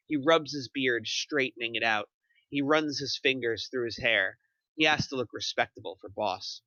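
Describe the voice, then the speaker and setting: A man's voice: medium pitch, sharp tone, rough recording. A man narrating stage directions from a script at a table reading.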